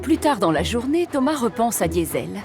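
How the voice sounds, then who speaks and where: A woman's voice: fairly high pitch, big pitch swings, moderate pace, music in the background. A woman narrating a children's cartoon story as a scripted storyteller.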